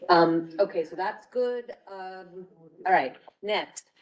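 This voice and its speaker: A woman's voice: fairly low pitch, slow pace, highs cut off. A woman giving an informal committee report at a community board meeting. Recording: distant mic in meeting room.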